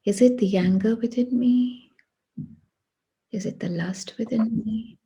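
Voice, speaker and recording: A woman guiding a meditation in a hushed, breathy voice, speaking deliberately in short phrases with long pauses. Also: a little high, muffled recording. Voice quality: breathy, husky. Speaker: meditation guide. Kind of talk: guided meditation.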